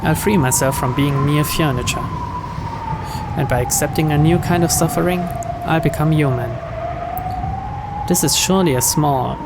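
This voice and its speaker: A man's voice: a little high, normal speed, long pauses. A man reading a visual novel aloud as dramatic first-person narration. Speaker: video game player reading the story aloud. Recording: clear background noise.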